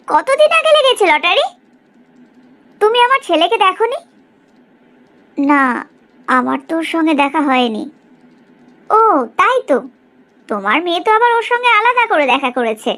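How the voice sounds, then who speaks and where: A woman voicing a proud, sharp-tongued middle-aged village mother in a cartoon story, in an exaggerated, gossipy way. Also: very high voice, heavily acted. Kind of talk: Bengali cartoon story dialogue.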